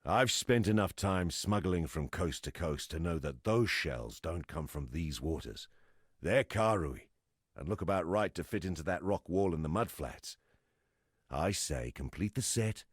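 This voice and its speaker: An actor playing a gruff, weary exile fighter in a fantasy video game, a plain-spoken, wry camp leader with a gravelly voice. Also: male voice, sits low, no room sound, studio quality, heavily acted. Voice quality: gravelly, husky. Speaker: non-player quest character.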